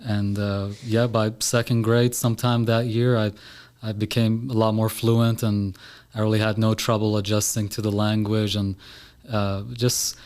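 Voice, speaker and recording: A man's voice: on the low side, little pitch movement, measured pace, a little mellow, smooth. A man of about thirty from Iran, a podcast guest talking casually in a studio with lots of "uh" and "you know" fillers. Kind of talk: casual interview talk.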